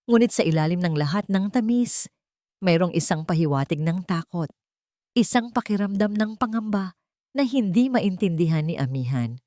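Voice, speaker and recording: Female Filipino narrator for romantic drama audio story; low-pitched, mellow, very dry recording. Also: animated, normal speed, dry recording.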